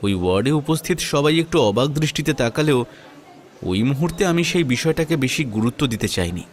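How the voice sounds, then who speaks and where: A man narrating a scripted first-person audio story in a measured, dramatic storytelling manner. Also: mid-range voice, animated.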